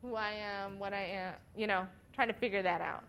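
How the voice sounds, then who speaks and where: A woman with a Minnesota accent leading a church outreach workshop, chatty and quick to laugh, with lots of "you know". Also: medium pitch, steady tone, fairly bright tone, a little hiss or hum. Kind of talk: workshop teaching and role-play.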